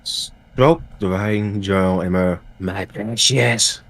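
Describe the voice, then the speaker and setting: A man's voice: lowish voice, very slow, fairly bright tone. A man in a casual group reading, giving brief replies and reading out short prompts.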